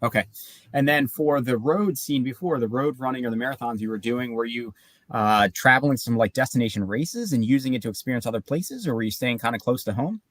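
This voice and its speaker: A man's voice: medium pitch, brisk, dry recording. A man hosting a casual interview, asking curious questions and rephrasing them as he goes. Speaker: podcast host.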